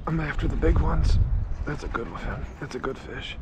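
A man's voice: a little high, normal speed. A man narrating his own fishing trip, talking casually to viewers and exclaiming as he lands fish at a pond bank. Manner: excited exclamations while reeling fish, chatty asides to viewers. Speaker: fishing vlogger. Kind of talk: casual first-person fishing commentary. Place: outdoors at a small pond bank. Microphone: head-mounted action camera. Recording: outdoor camera mic with noise.

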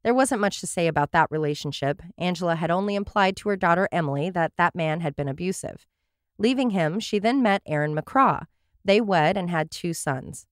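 A woman's voice: on the low side, animated, normal speed, no room sound, studio quality. A woman hosting a true crime podcast, reading her case notes with wry, sarcastic asides. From Portland area, USA.

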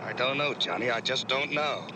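A man plays a worried flight navigator, dry and blunt, in an old radio drama. A very loud engine-like roar covers the recording, and his pitch sits mid-range. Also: animated, normal speed, heavy background noise, natural voice. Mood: grim, worried. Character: worried, blunt military aircraft navigator named Mike.

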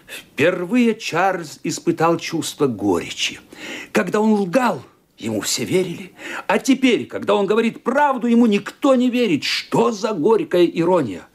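A man narrating a radio play with intense, expressive storytelling. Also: high-pitched, unhurried.

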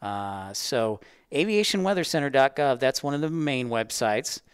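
Male educator-host for a casual livestream. Mid-pitched, clean voice, rambling conversational delivery, very little room sound. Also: expressive, normal speed, clear, resonant tone, no echo, studio quality. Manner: chatty, rambling, addressing viewers by name. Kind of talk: casual livestream chat with viewers.

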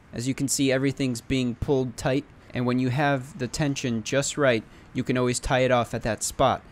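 A man's voice: mid-range voice, slight background noise. A young man in casual talk explaining a homemade kayak anchor, partly from a kayak on a lake, with fillers and restarts. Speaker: DIY video maker. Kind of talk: instructional voice-over and on-water demo.

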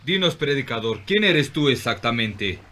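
A man playing a suspicious, demanding figure of authority in an animated Bible film, stern in manner. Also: mid-range voice, normal speed. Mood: challenging, stern.

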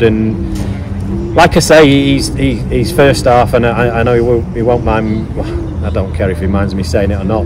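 A man's voice: fairly low pitch, background noise. A middle-aged man, a football manager, giving a candid post-match interview outdoors at a stadium, thoughtful with many fillers and restarts.

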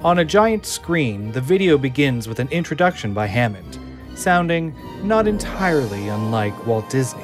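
A man narrating the scene descriptions of an audio drama in a plain, even reading. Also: mid-range voice, moderate pace, over music. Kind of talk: scripted narration of stage directions.

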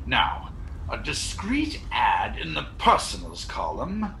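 An older man playing a pompous, scheming Edwardian doctor in a radio play, with theatrical, deliberate delivery. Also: mid-range voice, huge pitch swings, creaky, bright, forceful tone, a little hiss or hum, full character voice. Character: pompous, scheming, chauvinist male doctor villain. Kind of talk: period radio play acting. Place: at home on a video call. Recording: roomy home video-call sound.

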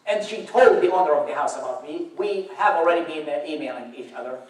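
A man's voice: on the high side, lively intonation, a little fast. Young man, Iraqi accent, wry storyteller; distant mic in a reverberant church. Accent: Iraqi Arabic. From Iraq. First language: Arabic. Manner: wry storytelling with dry humor. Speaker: guest speaker. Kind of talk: personal testimony talk. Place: church auditorium. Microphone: far camera across the room. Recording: roomy echo from far off.

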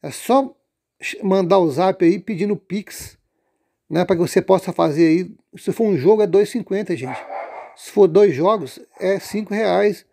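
A man casually pitching a lottery pool, rambling with many fillers and restarts. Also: unhurried, vocal fry, warm tone.

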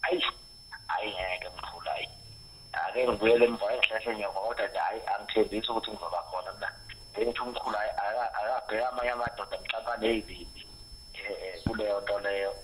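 Low South African man on noisy phone. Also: steady tone, quick pace. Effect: telephone. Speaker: musician taking a phone call. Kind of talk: casual phone conversation. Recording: noisy, humming phone line.

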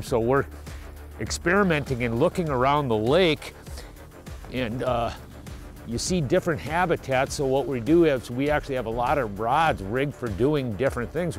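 A middle-aged man hosting a fishing show, giving casual, enthusiastic commentary from a small boat on an open lake. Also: medium pitch. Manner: enthusiastic, exclaiming often.

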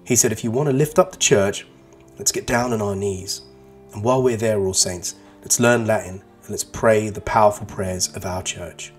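A man's voice: fairly low pitch, moderate pace. A man in his thirties from East London, whose first language is Arabic, giving an earnest, persuasive lesson to pupils with emphatic repetition. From England. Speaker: teacher. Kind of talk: voice-over religious lesson for pupils. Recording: voice-over with faint guitar music.

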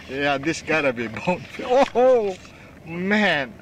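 A middle-aged man filming himself from a kayak on open water, calling out short excited reactions with whoops and laughter. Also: on the high side, very slow. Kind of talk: live reactions while fishing. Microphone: action camera mounted on kayak. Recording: wind and water noise.

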